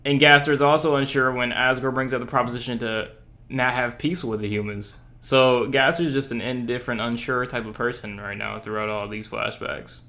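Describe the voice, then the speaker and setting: A man's voice: medium pitch, fairly even intonation, a little fast, sharp tone. A man giving casual reaction commentary, talking in an informal, musing way. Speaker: reaction host. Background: faint music of the watched video.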